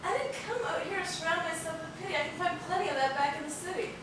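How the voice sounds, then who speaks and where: A woman's voice: very high-pitched, fast. An actress playing a caring, persistent girlfriend in a stage play, earnest and probing. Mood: hurt, defiant. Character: caring, persistent young girlfriend who challenges her man. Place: theater stage, far microphone. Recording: very noisy, echoey, distant videotaped stage.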